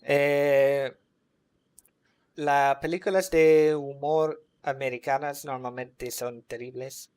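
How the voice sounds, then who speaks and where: A man's voice: medium pitch, fairly even intonation, slow pace, darkish tone, clear, resonant tone. A man streaming a game and chatting loosely with his live audience, rambling with lots of filler words. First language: English. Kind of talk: casual chat with a live audience while gaming.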